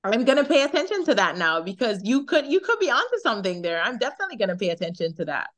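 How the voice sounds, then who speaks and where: A young Jamaican woman chatting in a remote interview, talkative and quick to laugh, often repeating her words. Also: fairly high pitch, very animated. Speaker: singer, interview guest. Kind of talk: casual interview chat.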